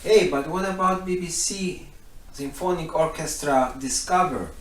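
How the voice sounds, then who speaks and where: A man's voice: on the high side, unhurried. A man calling out from off camera, away from the microphone in an echoey room. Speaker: off-camera crew heckler. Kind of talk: interjections from off camera. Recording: distant, echoey, noisy room with clicks.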